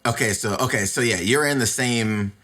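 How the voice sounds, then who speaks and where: Relaxed man hosting, slangy reactions. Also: mid-range voice, moderate pace. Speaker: podcast host. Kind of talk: casual podcast interview.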